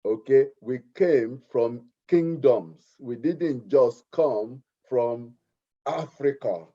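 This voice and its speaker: A middle-aged man with a Nigerian accent, speaking earnestly at a community meeting and often repeating his words. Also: medium pitch. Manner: earnest, repeats himself, deliberate. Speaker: community speaker, art teacher. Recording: muffled lo-fi recording.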